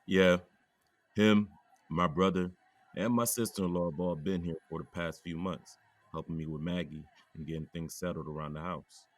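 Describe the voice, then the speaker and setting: An actor playing a grieving police chief who speaks calmly to friends. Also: male voice, low voice, moderate pace, lightly acted. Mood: steady. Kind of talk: audio drama acting.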